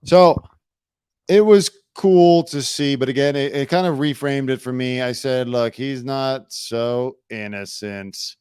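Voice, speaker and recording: A man hosting a casual talk show, telling stories in a relaxed, chatty way. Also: medium pitch, unhurried, clean tone, no echo, studio quality. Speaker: host. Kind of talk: casual chat.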